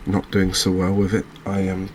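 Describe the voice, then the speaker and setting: English guy doing soft asmr game commentary, low flat voice, slow and hesitant, bit of background noise. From England. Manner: hushed, unhurried, hesitant. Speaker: gaming video host.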